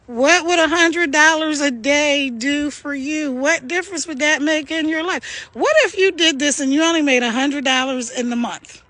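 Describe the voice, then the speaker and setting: Gravelly high-voiced woman chatting outdoors. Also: normal speed, hardly any pauses, bright, forceful tone, dry recording. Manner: chatty, upbeat, laughs often. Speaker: online business vlogger. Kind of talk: casual direct-to-camera pitch. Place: outdoors, walking. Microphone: phone held by hand.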